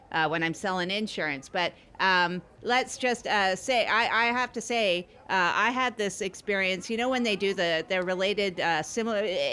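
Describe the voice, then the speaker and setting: A woman's voice: medium pitch, pushed and bright. A woman playing an earnest, scatterbrained small-town podcast host who rambles and repeats herself matter-of-factly in improvised comedy banter.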